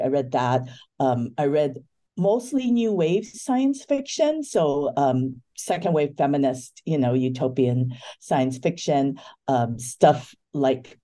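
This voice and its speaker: A woman in her fifties from Hawaii, a guest in an interview, speaking thoughtfully with many ums and pauses. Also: rumbling low, dark tone. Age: 50s. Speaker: book editor guest.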